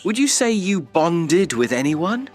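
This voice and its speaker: A man's voice: sits high, very animated, measured pace, soft background music, full character voice. A man playing an inquisitive interviewer who asks questions in a mock documentary audio drama. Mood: curious.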